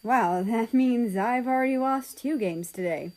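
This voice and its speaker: A woman's voice: fairly high pitch, measured pace, darkish tone. A woman reading a first-person story aloud as scripted narration. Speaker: fan fiction reader.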